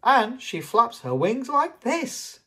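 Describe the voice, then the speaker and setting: An adult reader as a patient father pig, very expressive. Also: medium pitch, takes it slowly, slightly rough, pitched above the performer's own voice, exaggerated voice. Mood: obliging. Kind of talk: children's storybook read-aloud.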